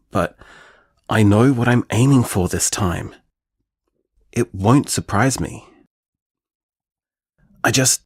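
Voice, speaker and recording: A man's voice: on the low side, slightly rough, full character voice. An actor playing an earnest, restless young man in a naturalistic audio drama, speaking hesitantly and reflectively as if leaving voicemails. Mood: hopeful, pensive.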